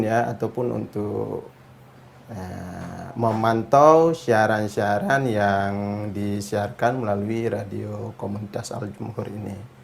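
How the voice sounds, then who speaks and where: A man speaking as a council member in an interview, halting and searching for words. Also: lowish voice, gravelly, a little mellow. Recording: faint background noise.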